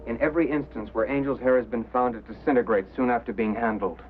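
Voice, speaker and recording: An actor playing an earnest, insistent radio operator in a 1950s drama, on a tinny soundtrack with hiss. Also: male voice, medium pitch, fairly even intonation, fast, natural voice. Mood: earnest recital. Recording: tinny, hissy vintage soundtrack with hum.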